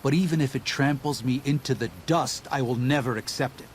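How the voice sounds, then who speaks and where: An actor playing an earnest, weary pacifist missionary in a video game, moralising in a pleading manner. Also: male voice, medium pitch, fairly quick, slight background noise, lightly acted. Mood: grim, resolute.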